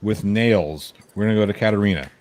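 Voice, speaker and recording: A man's voice: on the low side, fairly quick, a little mellow. A man hosting a casual chat, joking and teasing as he goes. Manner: playful, teasing. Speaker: podcast host.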